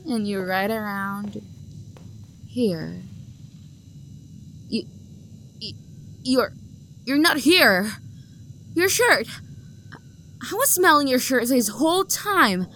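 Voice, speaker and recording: A woman's voice: high voice, a bit bright, a little hiss or hum, full character voice. A young woman acting an obsessive, possessive lover who hunts for the one she adores, her voice sweet and menacing and often sinking to a whisper. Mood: teasing, hunting. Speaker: voice actor. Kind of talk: audio roleplay acting.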